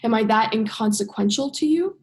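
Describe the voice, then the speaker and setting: A woman's voice: on the high side, lively intonation, fairly quick, natural voice. A young woman playing an earnest, exasperated human heroine in a radio play, with a direct, emotional delivery. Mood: hurt, pressing. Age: young adult. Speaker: drama student actor.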